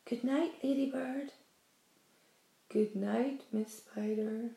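A woman voicing a polite, curious young boy who speaks quietly, in a storybook reading. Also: on the high side, lively intonation, moderate pace, gravelly. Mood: whispered. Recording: roomy echo.

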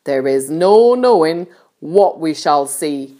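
A woman's voice: lowish voice, sing-song, takes it slowly, a little mellow, voice pushed up. A woman voicing a boisterous, gleeful male centipede in a storybook, exuberantly declaiming in rhyming verse. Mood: gleeful, theatrical.

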